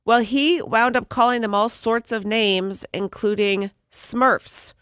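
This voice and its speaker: A woman's voice: fairly high pitch, steady tone, slow pace, fairly bright tone, clear, resonant tone, no echo, clean studio sound. A woman hosting a radio morning show, telling strange news stories in casual banter with her co-hosts. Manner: storytelling, reads out odd news items with jokes. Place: radio studio. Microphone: studio microphone.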